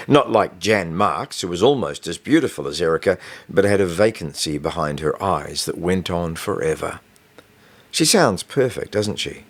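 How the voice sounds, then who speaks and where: Low-voiced man narrating an audiobook. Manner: first-person schoolboy storytelling, conversational. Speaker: author reading own novel.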